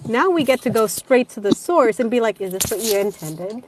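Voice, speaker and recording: A woman's voice: a little high, lively intonation, normal speed, noisy background. A woman audiobook narrator with a nasal voice, chatting casually on a live panel and swearing freely. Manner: chatty, swears casually, acts out quoted exchanges.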